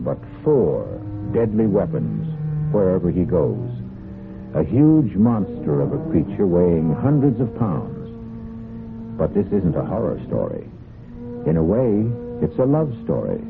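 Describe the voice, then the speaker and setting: A man narrates as host of an old radio mystery show. His voice is deep and gravelly, his delivery deliberate and theatrical. It comes through a narrow, phone-like vintage broadcast sound.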